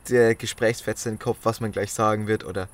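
A man's voice: lowish voice, flat delivery, quick pace. A man in casual podcast chat, musing and thinking aloud in loose phrasing. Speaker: podcast co-host.

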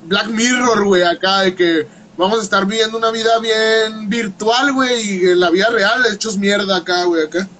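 A man, hosting a casual chat in a fast, slangy, playful way. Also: high-pitched, fairly even intonation, bright, forceful tone. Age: 30s. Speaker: host.

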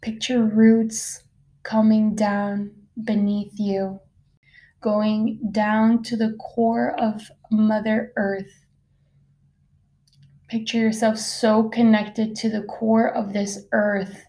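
Slow, mellow woman guiding meditation. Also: medium pitch, little pitch movement, rough recording. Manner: soft-spoken, unhurried, with long pauses. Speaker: meditation guide. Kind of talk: guided meditation, slow soothing narration.

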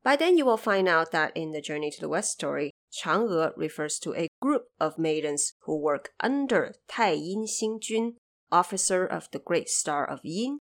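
A woman hosting a podcast, giving explanatory, lightly scripted commentary in a measured, didactic way with emphatic phrasing. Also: low-pitched, animated. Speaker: podcast host explaining background of an audio drama series.

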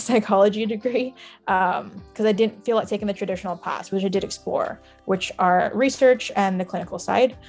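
A woman's voice: medium pitch, creaky, darkish tone. A young woman from Singapore and Shanghai speaking casually as an interview guest. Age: young adult. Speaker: podcast guest, music data analyst.

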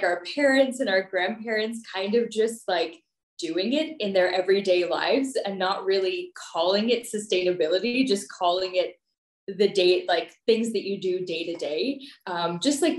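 A young woman giving casual, chatty interview answers peppered with "like". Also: mid-range voice. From United States and Pakistan. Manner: informal, chatty, frequent "like". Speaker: podcast guest, nonprofit founder. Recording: roomy, echoey sound.

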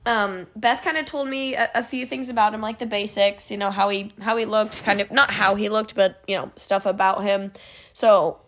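A woman's voice: mid-range voice, moderate pace. A young woman co-hosting a podcast, a little stuffed up from allergies, rambling and laughing as she retells a story. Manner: rambling, giggly, animated retelling. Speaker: podcast co-host. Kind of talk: casual chat, storytelling.

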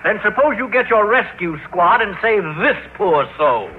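An actor playing a stern, exasperated school official in an old-time radio sitcom, imperious and sarcastic. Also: male voice, high-pitched, big pitch swings, normal speed, phone-quality sound. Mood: sarcastic exasperation. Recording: thin, faintly noisy vintage radio.

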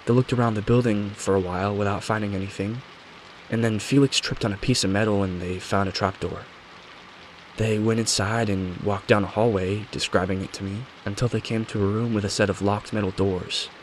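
A man's voice: lowish voice, brisk, natural voice, low-quality recording. A man voicing a determined young woman who explains things matter-of-factly in a scripted mystery story. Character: determined young woman recounting her brothers' investigation. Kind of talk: scripted audio story dialogue. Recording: steady rain noise behind voice.

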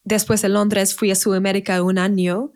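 A woman in her thirties from England, a native English speaker with an English accent, talking casually as a podcast guest and often pausing to search for words. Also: medium pitch, flat delivery, a little mellow. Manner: searching for words, restarts in a second language. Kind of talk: casual conversational interview.

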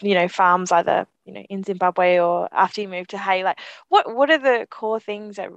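A woman's voice: medium pitch, steady tone, moderate pace, vocal fry, no room sound, muffled recording. A woman hosting a podcast, reading an introduction and then encouraging her guest with affirming remarks. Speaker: podcast host. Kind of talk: podcast interview, reads intro then chats.

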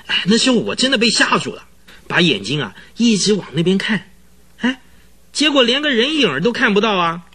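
Radio drama casting: easily spooked, chattering horse trainer, bright husky voice, dramatic storyteller. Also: male voice, high-pitched, sing-song, brisk, heavily acted. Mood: agitated, secretive. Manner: rambling, dramatic storytelling full of interjections. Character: excitable, rambling, easily frightened male horse trainer witness.

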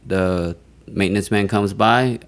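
A young man chatting casually on a podcast and telling animated, profane stories in a booming voice. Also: measured pace. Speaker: podcast guest.

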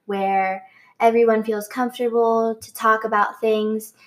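A young woman co-hosting a podcast, speaking in a somewhat high voice. She keeps things upbeat and casual, scattering "like" through her sentences. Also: flat delivery, measured pace. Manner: upbeat, uses many fillers like "like". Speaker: podcast co-host. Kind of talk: casual chat.

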